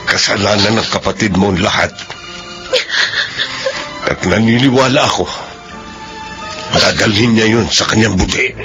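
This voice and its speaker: Istriktong tiyuhin, mababa ang boses. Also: male voice, fairly low pitch, unhurried, long pauses, music in the background. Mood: angry, resentful. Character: stern, protective middle-aged uncle, resentful. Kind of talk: Filipino radio drama acting.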